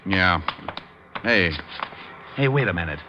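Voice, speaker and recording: A man's voice: low voice, animated, slow pace, background noise. A man playing a wisecracking, easygoing private detective in a vintage radio drama, wry and slangy. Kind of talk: 1940s-50s radio acting. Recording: dull vintage radio recording with music.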